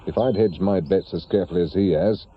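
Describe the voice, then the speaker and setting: A man's voice: deep voice, fast talker. A man playing a wry, bitter middle-aged English private investigator, once a policeman, with a dry, grumbling, colloquial way of talking in a radio drama. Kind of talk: naturalistic British radio drama acting. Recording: muffled radio recording with clear background noise.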